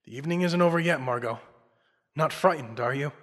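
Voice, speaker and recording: Bright, bold radio detective played by a man. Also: fairly high pitch, brisk, close to an everyday voice. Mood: calm, determined. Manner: confident, clipped, theatrical. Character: bold, suave crime-fighting male investigator. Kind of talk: old-time radio drama acting. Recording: long reverb with faint music.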